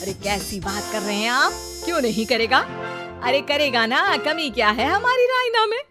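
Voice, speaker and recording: Woman playing a scheming high-pitched cartoon mother over music. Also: animated, moderate pace. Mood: insistent. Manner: scolding, dramatic, wheedling. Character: greedy, scheming, melodramatic middle-aged mother. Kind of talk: cartoon story acting. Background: background music.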